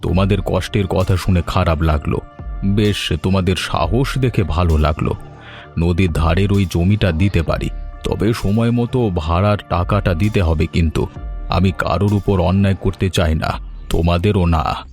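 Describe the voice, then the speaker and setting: A man voicing a kindly but firm, fair-minded village landlord in a cartoon story, measured and authoritative. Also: deep voice, a little mellow, faint music behind. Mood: benevolent, firm. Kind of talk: Bengali cartoon story dialogue.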